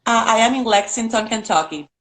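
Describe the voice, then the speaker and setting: A woman's voice: a little high, a little fast. Middle-aged Puerto Rican woman, earnest caller, talks at length over a video call link. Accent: Puerto Rican Spanish. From Puerto Rico. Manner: earnest, chatty, laughs often. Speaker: caller on a live stream. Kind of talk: casual video call chat.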